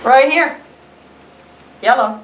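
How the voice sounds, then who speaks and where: A woman's voice: high voice, slow, dull audio, slight background noise. Woman as a boastful crayon in a roomy echo. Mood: boastful, insistent. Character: whiny, boastful yellow crayon, sure of itself. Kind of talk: children's storybook read-aloud. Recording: roomy echo, distant mic.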